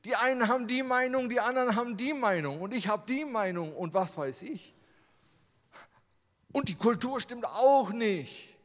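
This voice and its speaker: Lively German man preaching in a hall. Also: very high voice, normal speed. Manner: conversational, asks rhetorical questions. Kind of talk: sermon with slides. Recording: long hall reverb.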